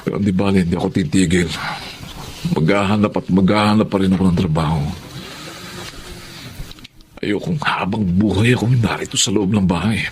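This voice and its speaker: A man acting a jealous, insecure jobless husband who is quick to anger, in a Tagalog radio drama. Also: deep voice, a little mellow, slight background noise, lightly acted. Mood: worried, downcast.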